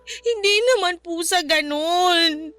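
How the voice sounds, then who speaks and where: Tearful young wife. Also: female voice, very high voice, takes it slowly, dry recording, exaggerated voice. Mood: upset, pleading. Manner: tearful, pleading, breaking into sobs. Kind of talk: radio drama acting. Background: soft background music.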